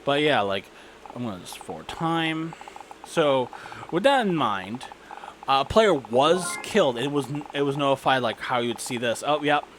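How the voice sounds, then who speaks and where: A man's voice: medium pitch, animated, moderate pace, a bit bright, slight background noise, poor audio. A man giving casual, rambling commentary and stories while playing a game, with an irreverent, sweary manner. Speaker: gaming video creator narrating gameplay.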